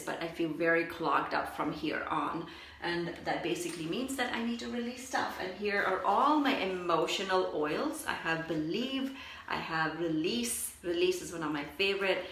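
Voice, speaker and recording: A woman's voice: fairly low pitch, vocal fry, a bit bright. Chatty woman in an echoey room. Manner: chatty and reflective, often says "like". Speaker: spiritual business coach. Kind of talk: casual livestream talk to viewers.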